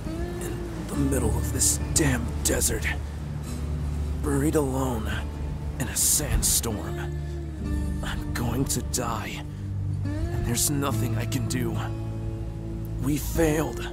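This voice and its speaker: Anguished young male outlaw, played by a man, bitterly self-loathing, slow halting lines over very loud music, audio drama. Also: medium pitch.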